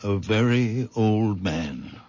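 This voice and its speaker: An actor playing a very old, gentle healer in a radio drama, speaking slowly and softly. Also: male voice, on the low side, lively intonation. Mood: quiet, weary. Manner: slow, soft-spoken. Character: very old man, gentle healer, slow and calm. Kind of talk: 1970s radio drama acting. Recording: noisy old radio recording.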